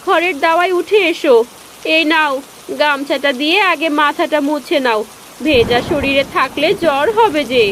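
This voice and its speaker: Woman as high-voiced fretful village housewife. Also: moderate pace, fairly bright tone, slight background noise. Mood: caring. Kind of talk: Bengali cartoon voice acting.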